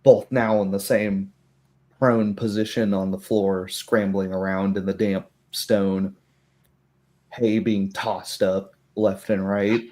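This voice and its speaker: A man with a fairly low voice acts as game master for a tabletop fantasy game. He narrates slowly and descriptively, in measured storytelling phrases. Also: level tone, warm tone.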